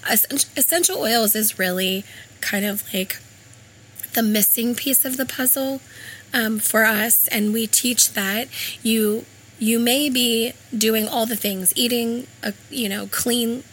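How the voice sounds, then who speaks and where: Female store co-owner, raspy and hoarse, conversational interview delivery, pitch a bit high, light noise behind. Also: steady tone, slow, a bit bright, low-quality recording. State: hoarse from laryngitis. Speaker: health food store co-owner. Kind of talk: informal interview explanation.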